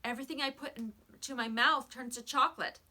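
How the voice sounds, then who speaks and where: A woman's voice: sits high, steady tone, brisk, pushed and bright, pitched above the performer's own voice. A woman voicing an anxious, pleading young schoolboy in a children's storybook, with a plaintive, protesting manner. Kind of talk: children's storybook read-aloud.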